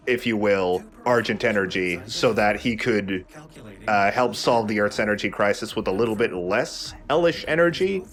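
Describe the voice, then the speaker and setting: A man's voice: lowish voice, a bit bright. A man giving casual, rambling explanations as he hosts a let's play of a video game. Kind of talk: casual gameplay commentary. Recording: game music behind the voice. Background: video game music.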